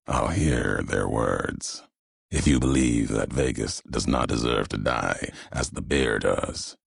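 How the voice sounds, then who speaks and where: An actor playing a brooding, vengeful wasteland courier in a video game, grave and world-weary, speaking solemnly and deliberately in clipped, cryptic phrases with a gravelly voice. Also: male voice, a bass voice, very echoey, exaggerated voice. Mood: grave challenge.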